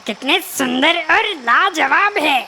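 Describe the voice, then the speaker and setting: A woman's voice: sits high, expressive, takes it slowly, vocal fry, sharp tone, a little hiss or hum, full character voice. A woman voicing a vain, boastful male peacock with a haughty manner in a children's cartoon. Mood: mocking.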